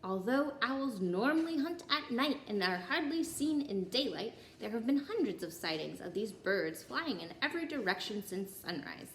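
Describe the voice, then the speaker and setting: A woman reading a children's story aloud in an expressive way, with occasional small stumbles over the text. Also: fairly high pitch, normal speed, few pauses. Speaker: story reader. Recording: roomy echo, clear background noise.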